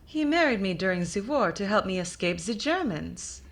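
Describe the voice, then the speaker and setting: A woman playing a devoted, glamorous German wife in a radio comedy, melodramatic yet deadpan. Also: medium pitch, moderate pace. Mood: protesting. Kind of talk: old-time radio comedy acting.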